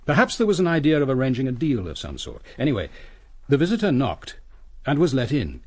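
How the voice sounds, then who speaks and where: A husky British detective inspector, methodical and cool. His delivery swings widely in pitch as he deliberately reconstructs events, as in a radio murder mystery. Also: wildly swooping pitch. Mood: reflective, intent. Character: coolly brilliant male police inspector, methodical and probing.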